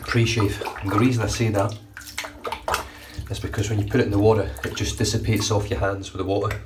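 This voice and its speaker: A man's voice: on the low side, fairly quick, far from the mic, noisy background. A man in his thirties with a blocked, nasal voice, chatting casually through his shave for a grooming vlog, recorded on a phone in a small bathroom. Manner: chatty, relaxed, swears casually. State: congested, nasal. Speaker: shaving vlogger. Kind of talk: casual how-to commentary. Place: small bright bathroom at home. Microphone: phone front camera at arm's length.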